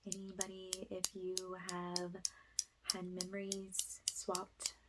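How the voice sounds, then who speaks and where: A woman leading an ASMR guided spiritual healing session, speaking softly and breathily, often in a whisper, with slow, gentle, soothing guidance. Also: on the low side, completely flat delivery, heavy background noise. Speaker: ASMR energy healer, host.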